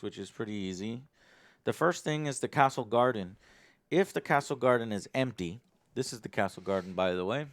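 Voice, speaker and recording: A man's voice: fairly low pitch, no room sound. A man hosting a board game, casually explaining the rules and partly reading them aloud. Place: indoor game table on a livestream.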